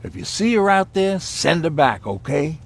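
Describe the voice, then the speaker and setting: An actor playing an older, easygoing survivor and mechanic, gravelly and husky, talking in a folksy way. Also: male voice, a little high, very animated, moderate pace, exaggerated voice. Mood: concerned. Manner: folksy, reminiscing. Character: weathered, easygoing old male survivor and mechanic. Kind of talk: game voice acting, conversational.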